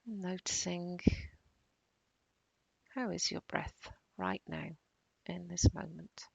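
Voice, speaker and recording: Female meditation guide: soothing, very slow delivery, soft breathy endings, close dry sound. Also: lowish voice, dry recording. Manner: calm, soothing, unhurried with long pauses. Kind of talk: guided body-scan meditation.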